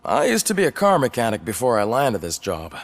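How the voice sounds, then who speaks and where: A man's voice: medium pitch, huge pitch swings, a little fast. An actor playing an affable, informal male colleague in a video game.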